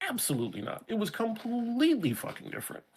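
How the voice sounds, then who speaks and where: A man with an American New York accent, a guest in a casual interview chat, talking in an animated way and often restarting his words. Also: high-pitched, fast, slightly rough. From Brooklyn, New York.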